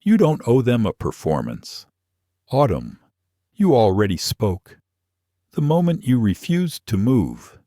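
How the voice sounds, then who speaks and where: A man's voice: medium pitch, huge pitch swings, slow pace, warm tone, dry recording, clean studio sound, pitched above the performer's own voice, exaggerated voice. A man voicing a protective, reassuring father in a story, firm and earnest. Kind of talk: audiobook-style dialogue.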